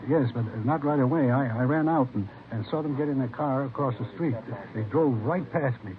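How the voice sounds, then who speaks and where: A man's voice: mid-range voice, fairly quick, crowd noise, close to an everyday voice. An actor playing an earnest, shaken American eyewitness in a 1950s radio drama, hesitant and stammering as he rambles. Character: earnest, shaken, literal-minded male eyewitness. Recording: noisy, narrow-band vintage radio recording.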